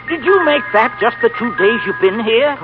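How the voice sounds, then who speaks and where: A mild, eager tinkerer of a husband in 1930s radio comedy. His delivery is lively. The dull broadcast has loud music behind. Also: extremely high pitch, moderate pace.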